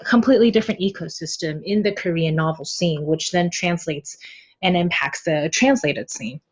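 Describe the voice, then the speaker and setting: A woman translator being interviewed on a podcast, easygoing and quick to laugh. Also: lowish voice, slightly rough, highs cut off. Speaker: novel translator, podcast guest. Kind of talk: casual interview chat.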